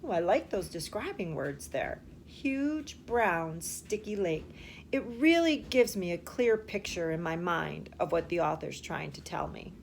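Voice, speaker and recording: A woman's voice: on the low side, lively intonation, a little slow, gravelly, a little hiss or hum. A woman teacher reading a storybook aloud expressively, speaking directly to children and asking them questions.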